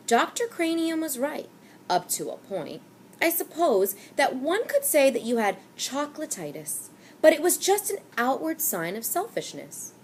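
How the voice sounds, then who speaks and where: A woman reading the lines of a calm old shopkeeper who lectures in a slow, measured way. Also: high-pitched, a little hiss or hum, voice pushed up.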